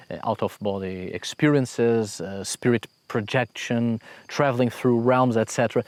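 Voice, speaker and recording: A man from Portugal with a Portuguese accent, speaking in a thoughtful, soft-spoken way with many pauses and fillers. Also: medium pitch, no echo. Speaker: host of a folk magic channel. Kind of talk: reflective spoken talk.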